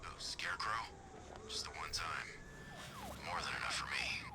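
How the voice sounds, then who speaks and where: A scared, jumpy militia grunt. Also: male voice, rumbling low, animated, moderate pace, vocal fry, full character voice. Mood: uneasy. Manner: nervous banter, hushed and jumpy. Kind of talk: video game cutscene acting. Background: game score music.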